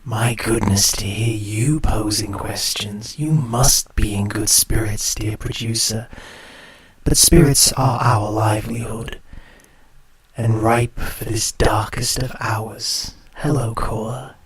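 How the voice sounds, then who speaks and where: A man playing a sinister late-night spirit radio host with a hushed, whispery, theatrical way of talking. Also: on the low side, fairly even intonation, slightly rough, heavily acted. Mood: sly. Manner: theatrical, drawn-out, creepily welcoming. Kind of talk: horror radio drama.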